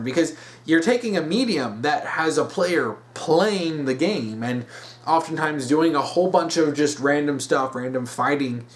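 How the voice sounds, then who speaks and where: A man hosting a solo opinion video, speaking off the cuff in an emphatic, halting way and repeating himself to stress his points. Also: fairly high pitch. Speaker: host of a weekly topic video series. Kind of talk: unscripted solo opinion commentary.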